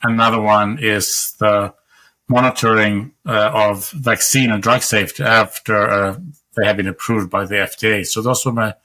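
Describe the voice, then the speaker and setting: A man in his sixties from Sweden, speaking with a Swedish accent, explaining his research in a measured way as a guest on a video call. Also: fairly low pitch, fairly even intonation, bright, forceful tone, rough recording. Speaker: guest, epidemiologist. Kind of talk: interview answers over video call. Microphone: webcam on video call.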